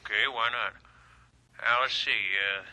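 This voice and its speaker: A man's voice: lowish voice, steady tone, a little slow, sharp tone, rough recording. A man acting a folksy, doubtful ranger's friend in an old radio adventure, with hesitant, plain-spoken drawling phrasing. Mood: uneasy, musing.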